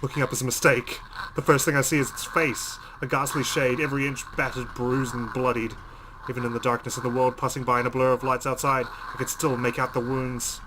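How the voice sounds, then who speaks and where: A man's voice: medium pitch, level tone, brisk, lightly acted. A man reading aloud the part of a shaken, exhausted young woman driver in a horror visual novel, narrating dramatically. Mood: panicked. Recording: noisy home recording.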